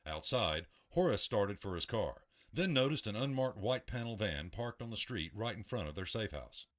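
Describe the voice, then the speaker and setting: A man narrating an audio drama in a steady storytelling voice. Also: lowish voice, moderate pace.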